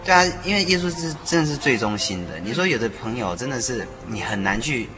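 Very fast-talking man over music. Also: mid-range voice, animated. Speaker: interview guest, songwriter. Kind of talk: casual conversational explanation. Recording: music behind the voice.

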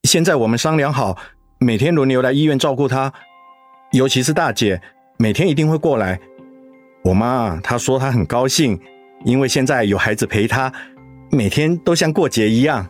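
A man voicing a dutiful middle-aged son and schoolteacher in a radio drama, speaking in a steady, subdued way. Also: mid-range voice, moderate pace, faint music behind, lightly acted. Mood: somber.

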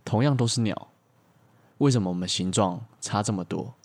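Low-voiced man improvising a story. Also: normal speed, gravelly, darkish tone. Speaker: podcast co-host improvising a story. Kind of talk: playful improvised storytelling with banter.

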